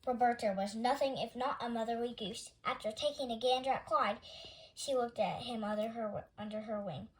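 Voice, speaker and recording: Woman, higher-pitched voice, storytime reader, expressive picture-book narration. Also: moderate pace, rough recording.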